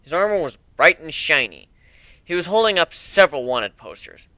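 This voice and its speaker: A man's voice: on the high side, very animated, normal speed, pushed and bright, no room sound. A man reading a first-person fantasy story aloud as an amateur dramatic narrator.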